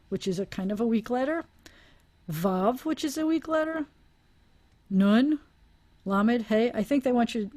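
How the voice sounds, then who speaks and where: A woman's voice: mid-range voice, dark tone. A woman teaching a language class over a video call, explaining aloud with restarts and small laughs. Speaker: Hebrew teacher.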